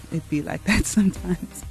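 A woman's voice: fairly low pitch, a little slow, vocal fry. A young woman co-hosting a radio show in casual, bubbly chat, rambling with filler words. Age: young adult. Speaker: radio co-host. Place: radio studio. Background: faint music bed.

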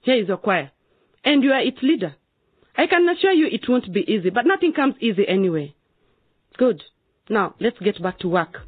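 A woman's voice: a little high, very animated, moderate pace, slightly rough, no echo, narrow, tinny audio. An actress playing a stern but encouraging schoolteacher in a radio drama, questioning and commanding her class. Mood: pleased, encouraging.

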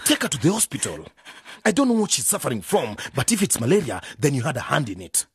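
A man's voice: mid-range voice, huge pitch swings, quick pace, slightly rough, no echo, clean studio sound, heavily acted. An actor playing a harried young father who talks in a coaxing, exasperated way in a radio drama. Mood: firm. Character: harried young father, patient but frazzled.

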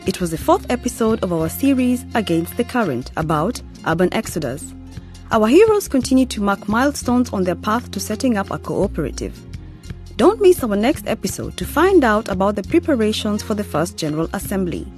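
Woman presenter, scripted outro, lively pitch, faint music behind. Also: a little fast. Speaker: program presenter.

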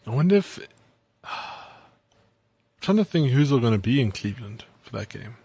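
A man's voice: medium pitch, very animated, normal speed, gravelly, warm tone, breathy edge, dry recording. A man co-hosting a podcast, talking eagerly and defending himself against teasing. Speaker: podcast co-host. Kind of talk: casual chat.

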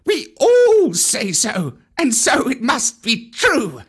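Reader putting on a squeaky yelling monkey crowd, show-off and wild, slow and singsong, way up high. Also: huge pitch swings, slightly rough, heavily acted. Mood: boastful. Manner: high shrill chanting. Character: chattering boastful monkey mob. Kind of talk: audiobook character voice.

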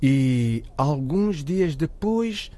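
An actor playing a proud, hasty middle-aged father in a radio drama, speaking. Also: a little high. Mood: indignant. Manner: excitable, quick to jump to conclusions.

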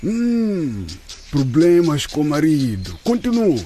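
An actor playing a haughty, theatrical fortune-teller who speaks pompously in a radio drama. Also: male voice, a little high, sing-song, slow, warm tone, slight background noise. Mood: brooding. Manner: pompous, refers to himself in the third person.

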